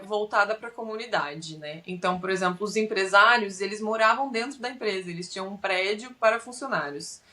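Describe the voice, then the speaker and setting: A woman's voice: medium pitch, sharp tone. A woman with a Brazilian Portuguese accent, a podcast guest telling her experiences in a detailed, explanatory way. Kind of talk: casual interview storytelling.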